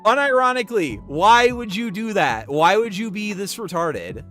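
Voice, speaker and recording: A man's voice: high voice, sing-song, normal speed, a bit bright, faint music behind. A man streaming and commenting casually, with a sniffly, stuffed nose, rambling and restarting his sentences often.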